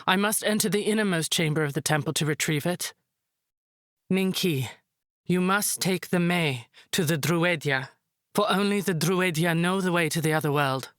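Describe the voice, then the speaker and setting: A woman playing a proud, resolute high priestess, formal and composed, in a visual novel drama. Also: moderate pace, fairly bright tone, no room sound, studio quality, close to an everyday voice. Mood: urgent, commanding.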